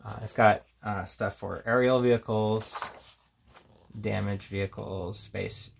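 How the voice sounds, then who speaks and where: Gravelly low-voiced man, casual reviewer. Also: fairly even intonation, takes it slowly, slight background noise. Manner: rambling, reads headings aloud between casual remarks. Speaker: tabletop game reviewer. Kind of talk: casual unscripted flip-through commentary.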